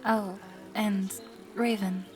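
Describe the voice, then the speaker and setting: An actress playing a forgiving, gently cautious mother in an audio drama, with a careful, hesitant manner. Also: mid-range voice, little pitch movement, drawn-out words, over music.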